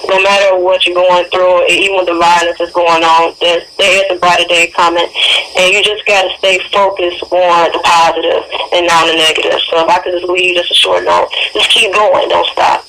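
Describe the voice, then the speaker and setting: American woman, radio interview guest, bright voice, earnest encouraging talk, phone line with faint noise. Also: lowish voice, little pitch movement, brisk. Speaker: interview guest, youth TV show promoter. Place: calling in by telephone to a radio show. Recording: noisy phone call to radio show.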